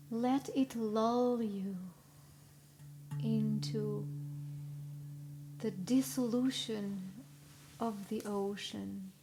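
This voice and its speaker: A woman leading a live guided meditation in a soothing, instructional way, with long pauses between short phrases. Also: mid-range voice. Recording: live recording with clear background noise.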